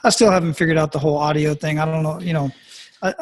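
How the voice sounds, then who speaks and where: A middle-aged man from Arizona speaks in a fairly high voice. He talks easygoing and casually as a podcast co-host, agreeing often with short replies. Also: fairly even intonation, fast. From Phoenix, Arizona. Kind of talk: casual chat.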